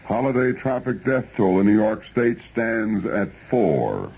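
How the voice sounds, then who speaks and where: A man's voice: on the low side, big pitch swings, a little slow, creaky, a little mellow, slightly rough, lo-fi sound. A man reading scripted news as a radio newscaster, on an old broadcast recording.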